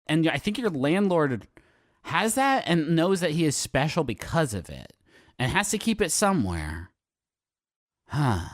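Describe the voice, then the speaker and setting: A man riffs as a comedy podcast host, insistent and emphatic. His pitch leaps around constantly as he talks. The recording is dry and tight. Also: very animated, unhurried, no room sound. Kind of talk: animated comic banter.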